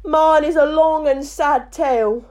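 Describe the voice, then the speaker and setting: A woman's voice: big pitch swings, a little slow, heavily acted. A woman voicing a touchy, mournful little mouse in a storybook reading, speaking sharply. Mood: indignant. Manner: shrill, sharp and sorrowful.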